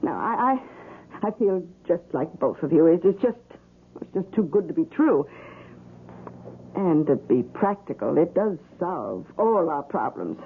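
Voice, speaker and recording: An actress playing a fretful, fond, superstitious older aunt in a radio drama, with a hesitant way of repeating words. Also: female voice, on the low side, sing-song, a little slow. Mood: wistful, worried. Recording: muffled, lightly noisy old radio recording.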